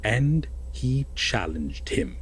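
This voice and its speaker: A man playing an obsessive, possessive lover in an intimate roleplay audio drama, speaking deliberately and coaxingly with dramatic pauses and a husky voice. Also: mid-range voice, big pitch swings, heavily acted. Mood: ominous storytelling. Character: possessive, obsessive lover telling a veiled fairytale.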